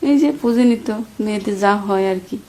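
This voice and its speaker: A woman's voice: medium pitch, fast talker, warm tone. A woman with a Bangladeshi accent chatting flirtatiously in a coy, teasing way on a recorded phone call. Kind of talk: flirtatious casual phone chat.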